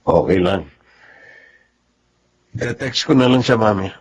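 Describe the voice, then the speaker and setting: A Filipino man playing a gentle, devoted young husband and father in a radio drama, speaking softly and deliberately. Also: on the low side, lively intonation, husky, heavily acted. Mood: resigned, sincere.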